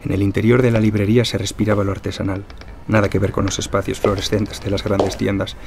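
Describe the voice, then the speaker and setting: A man's voice: fairly low pitch, little pitch movement, quick pace, creaky, darkish tone, slight background noise. A man reading scripted narration for a crime fiction audio drama.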